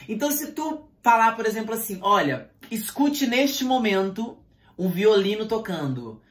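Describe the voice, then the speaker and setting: Guy teaching singing online, super animated, keeps asking the viewer questions, echoey room. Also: high voice. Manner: animated, talks straight to viewers with rhetorical questions. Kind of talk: online voice lesson. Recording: roomy echo.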